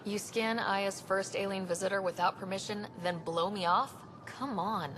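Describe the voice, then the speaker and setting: An actress playing a confident young team leader in a video game, wry and direct. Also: female voice, medium pitch, moderate pace, fairly bright tone, a little hiss or hum, lightly acted.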